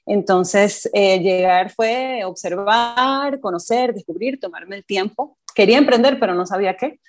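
A woman in her thirties from Venezuela with a Venezuelan accent, a podcast guest talking warmly and enthusiastically, with frequent hesitations. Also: medium pitch, unhurried. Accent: Venezuelan Spanish. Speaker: podcast guest, ice cream entrepreneur. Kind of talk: casual interview chat.

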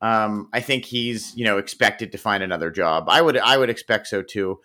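A man's voice: on the low side, moderate pace, pushed and bright. A man co-hosting a podcast, chatting about football in an easygoing, joking way with many fillers. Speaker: podcast co-host. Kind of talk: casual sports chat.

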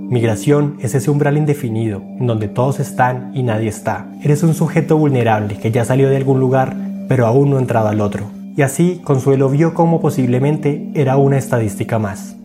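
A man's voice: mid-range voice, a little fast, music in the background. A man narrating a scripted documentary as its host, in a dramatic, literary storytelling manner.

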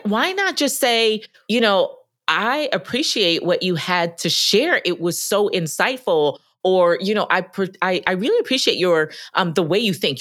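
A woman co-hosting a podcast, talking in an emphatic, lively way about workplace experiences. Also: fairly low pitch, dry recording, clean studio sound. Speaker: podcast co-host. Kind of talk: conversational podcast discussion.

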